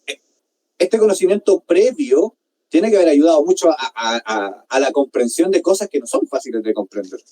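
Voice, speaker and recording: A man with a Chilean accent, hosting an interview and chatting in a lively, friendly way. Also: on the high side, moderate pace, low-quality recording. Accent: Chilean Spanish. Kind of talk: casual interview chat.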